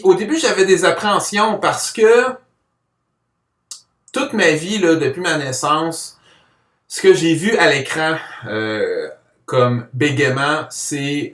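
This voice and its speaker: Higher-voiced Quebec man with a mild stutter. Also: animated, slow, a bit bright. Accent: Quebec French. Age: adult. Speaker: person who stutters giving a testimonial, comic book author. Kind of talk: personal spoken testimonial to camera. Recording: roomy echo, distant microphone.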